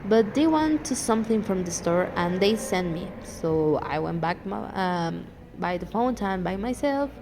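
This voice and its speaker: A young woman from Mexico speaking English with a Mexican Spanish accent, chatting excitedly and teasing her co-host on a podcast. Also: mid-range voice, measured pace, few pauses, noisy background. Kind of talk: casual storytelling chat.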